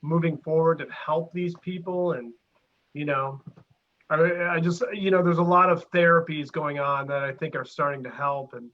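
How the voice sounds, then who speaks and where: A man's voice: fairly high pitch, fairly even intonation, normal speed. A man co-hosting in casual, slangy, blunt conversation, heard over a lo-fi remote connection. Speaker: co-host. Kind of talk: casual chat.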